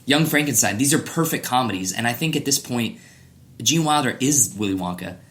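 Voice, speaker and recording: Male podcast co-host, bright forceful voice, middle pitch, casual and opinionated conversational delivery. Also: animated, a little fast. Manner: opinionated, emphatic. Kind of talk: casual pop culture podcast chat.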